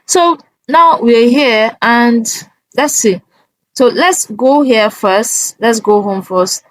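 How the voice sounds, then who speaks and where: A woman hosting a casual software walkthrough, talking step by step in a halting way and often checking in with an okay. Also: a little high, no echo, poor audio. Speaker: tutorial host.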